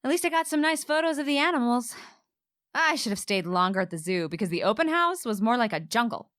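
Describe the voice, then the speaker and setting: A woman's voice: on the high side, very animated, fairly quick, fairly bright tone. An actress playing a wry, exasperated single woman homeowner, narrating and acting out her romantic mishaps in a radio play.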